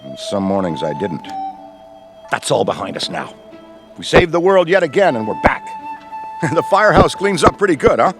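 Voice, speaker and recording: An actor playing Ray, an enthusiastic middle-aged veteran ghostbuster who rambles in wordy, professorial speech. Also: male voice, a little high, sing-song, normal speed, pauses often, music in the background. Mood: lively. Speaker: veteran mentor. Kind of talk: video game cutscene voice acting.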